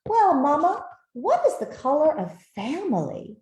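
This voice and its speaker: A woman's voice: sits high, sing-song, unhurried, darkish tone, echoey room, voice pushed up. A woman voicing a sweet, curious young puppy daughter in a picture-book story.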